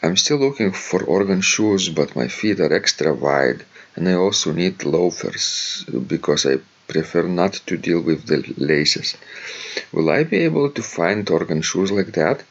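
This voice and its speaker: Man, Lithuanian accent, podcast co-host, hesitant, very deep voice, muffled lo-fi sound. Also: animated, measured pace, breathy edge. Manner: hesitant, many fillers. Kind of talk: casual chat, reading a listener letter.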